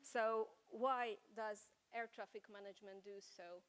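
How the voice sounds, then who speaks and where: A woman's voice: medium pitch, steady tone, a little slow, clean tone. A Hungarian-accented woman in her forties giving a keynote talk at a conference, telling her story with rhetorical questions. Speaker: keynote speaker. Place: conference hall.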